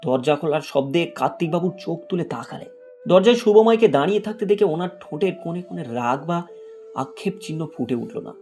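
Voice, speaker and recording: A man's voice: a little high, expressive, a little fast, a little mellow. A man delivering scripted, dramatic narration for a moral story. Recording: background music under the voice.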